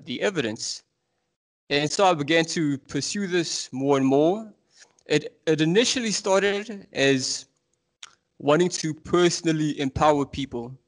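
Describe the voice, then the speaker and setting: A man in his thirties from South Africa, with a South African accent, talking earnestly and at length as a podcast guest. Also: fairly high pitch. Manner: earnest, fast-flowing, passionate explaining. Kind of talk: casual interview talk.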